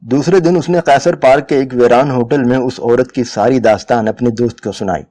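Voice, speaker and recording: A man's voice: medium pitch, moderate pace, dark tone. A man reading a literary short story aloud as dramatic scripted narration. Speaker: dramatic reader of short stories.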